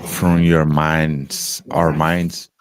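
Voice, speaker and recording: A man, an English speaker, talking slowly and haltingly about spiritual experiences on a podcast, pausing often to search for words. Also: rumbling low, little pitch movement, a little hiss or hum. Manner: halting, searching for words, many pauses and fillers. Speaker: podcast co-host. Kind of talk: slow reflective spiritual chat.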